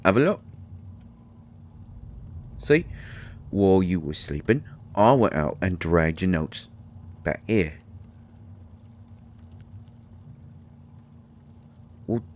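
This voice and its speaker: A man playing a tiny, gruff but shy and caring potion-brewing healer in an audio drama, with a mottled British accent and a halting, stammering delivery. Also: fairly low pitch, exaggerated voice. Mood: reassuring, flustered. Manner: halting, stammering, mumbling asides. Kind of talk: intimate roleplay audio drama monologue.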